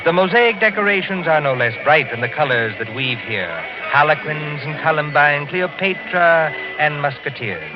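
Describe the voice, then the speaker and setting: A man's voice: a little high, expressive, normal speed, hardly any pauses, natural voice. A man playing a mysterious, ominous host, narrating a 1940s radio suspense series in a theatrical, portentous way. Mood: evocative, nostalgic. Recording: muffled old radio broadcast with music.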